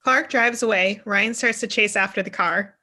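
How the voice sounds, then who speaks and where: A woman's voice: medium pitch, moderate pace, pushed and bright. A young woman reading scripted stage directions as narrator on a video call. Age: young adult.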